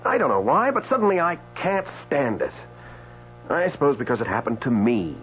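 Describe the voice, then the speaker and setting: An actor playing an indignant, newly dead man in a radio drama, speaking with hesitant stammers and dramatic pauses. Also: mid-range voice, big pitch swings, a bit bright, faint music behind. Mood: aggrieved. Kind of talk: radio drama acting with first-person narration. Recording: dull radio broadcast, faint mains hum.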